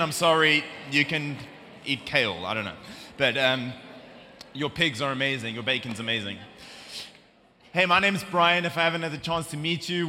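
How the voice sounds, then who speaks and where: Bright-voiced South African pastor in a big hall. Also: male voice, fairly high pitch, slight background noise. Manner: chatty and humorous, then solemn when reading scripture. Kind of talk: sermon. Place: large church hall. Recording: long reverb of a large hall.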